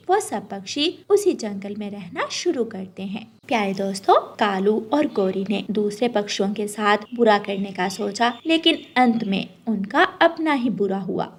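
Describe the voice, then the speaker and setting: High-pitched woman narrating, very lively intonation. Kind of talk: scripted children's story narration.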